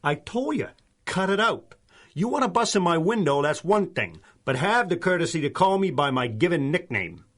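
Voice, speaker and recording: Brash streetwise crook, swooping delivery. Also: female voice, on the low side, sing-song, normal speed. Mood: cocky, annoyed. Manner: brash, slangy, wisecracking. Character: cocky, streetwise small-time crook, easily rattled. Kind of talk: 1930s-style radio drama acting.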